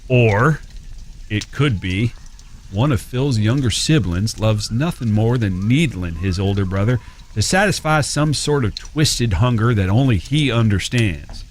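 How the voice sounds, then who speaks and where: An actor playing a wry, easygoing outlaw brother in a western audio drama, speaking in a folksy, teasing way. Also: male voice, lowish voice, lively intonation, measured pace, a little hiss or hum. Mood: wry storytelling. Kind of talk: first-person storytelling in western audio drama.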